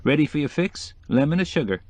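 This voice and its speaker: A man's voice: fairly high pitch, very animated, fairly quick, darkish tone, no room sound, voice pushed up. A man voicing a very young, breezy American woman in an audiobook. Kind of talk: acted audiobook dialogue.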